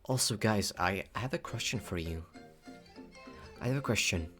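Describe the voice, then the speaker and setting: Low-voiced playful man livestreaming. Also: lively intonation, moderate pace, a bit bright, soft background music. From Philippines. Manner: playful, cutesy, repeats himself. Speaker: VTuber livestreamer. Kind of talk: casual rambling livestream chat.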